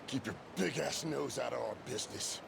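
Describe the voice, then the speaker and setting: A man's voice: medium pitch, normal speed, creaky, background noise. An actor playing a brash, hot-headed male yakuza henchman in a video game, strained and belligerent. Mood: defiant, pained. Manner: belligerent, strained, foul-mouthed. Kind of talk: dramatic video game voice acting. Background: music.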